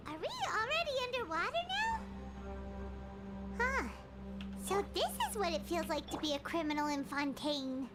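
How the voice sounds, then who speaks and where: A woman's voice: very high-pitched, expressive, normal speed, long pauses, music in the background. A woman voicing a small, chirpy, naive female fairy companion in a video game. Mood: playful. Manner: refers to self in third person, exclamatory.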